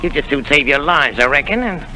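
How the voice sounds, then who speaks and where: A cunning one-legged captain, sharp bright tone, wheedling and falsely polite, old radio hiss. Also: male voice, on the high side, animated, brisk, rough recording. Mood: menacing. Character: sly one-legged male pirate captain, smooth-talking and menacing. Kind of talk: 1930s radio drama acting.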